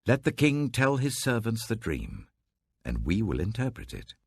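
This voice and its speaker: A man's voice: fairly low pitch, huge pitch swings, normal speed, gravelly, slightly rough, no room sound, clean studio sound, pitched above the performer's own voice, exaggerated voice. A British man voicing a deferential court astrologer pleading formally with a king in a scripture audiobook.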